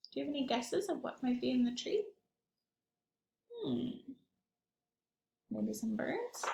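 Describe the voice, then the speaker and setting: A woman reads a storybook to young children like an early years teacher. Her high voice moves up and down expressively at an unhurried pace. The room adds a noticeable echo. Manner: animated, asks young listeners questions.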